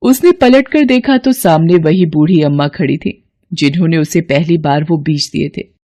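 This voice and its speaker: A woman giving expressive scripted narration of a children's moral story. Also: sits low, normal speed.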